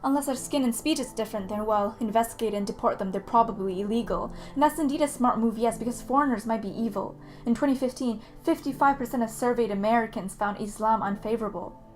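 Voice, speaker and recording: Teen female slam poet, higher-pitched voice, emphatic rhythmic recitation, backed by music. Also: fairly even intonation, quick pace. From Canada. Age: late teens. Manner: earnest, emphatic, rhythmic delivery. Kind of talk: performed slam poetry recitation. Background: background music.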